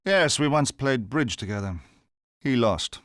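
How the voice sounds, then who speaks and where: A man's voice: medium pitch, expressive, measured pace, fairly bright tone, dry recording, clean studio sound. An actor playing a suave, unflappable male secret agent in a video game, speaking in a cool, understated, drily witty way. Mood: cool, wry.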